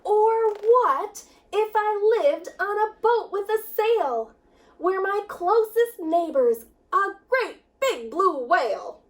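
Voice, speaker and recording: A woman reading a picture book to children in an animated, emphatic, sing-song storytelling manner, drawing out words. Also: very high voice.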